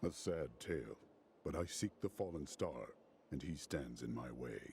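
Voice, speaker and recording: An actor playing a stern, resolute warrior hero in a fantasy video game, terse and formal in manner. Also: male voice, extremely low pitch, expressive, moderate pace, darkish tone, a little hiss or hum. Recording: music behind the voice.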